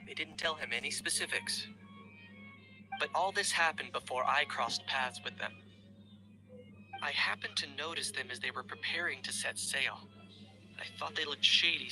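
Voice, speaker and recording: A man playing a composed, well-spoken gentleman investigator in a video game, explaining things in a measured, articulate way. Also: a little high, low-quality recording. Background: music.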